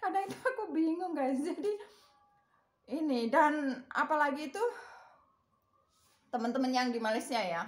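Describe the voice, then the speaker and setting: A woman's voice: high-pitched, animated, moderate pace, pauses often, reverberant. A woman with a Javanese accent, chatting casually to her viewers in a rambling way and laughing often. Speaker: vlogger.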